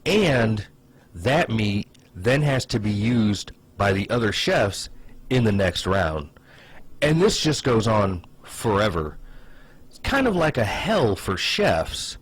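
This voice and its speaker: A man's voice: on the low side, very animated, takes it slowly, slightly rough. A man giving casual comedic commentary in a conversational way, with hesitant asides and plenty of "like". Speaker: online video host.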